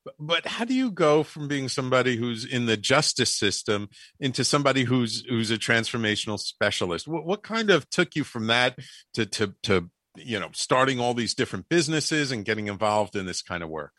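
A man's voice: medium pitch, big pitch swings, a little fast, fairly bright tone, no echo, clean studio sound. A man hosting a radio talk show, asking curious questions with many restarts. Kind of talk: radio interview.

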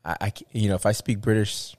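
A man with a very low voice talks through a casual chat and keeps circling back on himself with fillers and restarts. The sound is dry and close, with no room around it. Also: fast talker, no room sound. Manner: rambling, animated, many restarts and fillers.

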